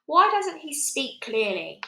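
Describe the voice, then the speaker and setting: A woman's voice: high-pitched, very animated, slow, vocal fry, bright, forceful tone, echoey room, slight background noise, pitched above the performer's own voice, heavily acted. A woman voicing a blustering, hot-tempered male army general, indignant and exclamatory.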